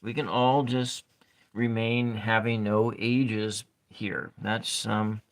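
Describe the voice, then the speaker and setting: A calm, soft-spoken man with a fairly low voice talks very slowly during a relaxed ASMR art stream. He chats quietly with viewers and meanders between thoughts as he colors, often trailing off and picking the sentence back up. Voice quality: calm speaking voice. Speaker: streamer drawing and coloring tarot cards.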